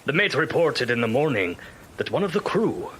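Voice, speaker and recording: An actor playing a weary ship's captain, narrating his log gravely in clipped, deliberate phrases in an audio drama. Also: medium pitch, sing-song, a little hiss or hum, close to an everyday voice. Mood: grave, measured.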